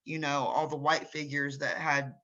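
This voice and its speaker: A man's voice: a little high, little pitch movement, normal speed, pushed and bright, lo-fi sound. A young man from Ohio interviewing a guest, talking earnestly and casually with plenty of "like". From Gallipolis, Ohio, United States. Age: young adult. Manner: earnest, conversational, filler "like".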